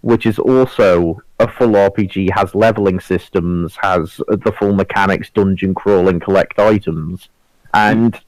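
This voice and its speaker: A man's voice: deep voice, a little slow, darkish tone, dry recording, rough recording. A man talking in a casual group discussion as a podcast panelist.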